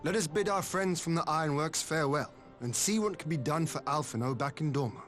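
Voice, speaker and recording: An actor playing a composed, courteous adventurer in a video game, speaking in an articulate, formal way. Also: male voice, on the high side, brisk, over music, lightly acted. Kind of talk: video game cutscene acting.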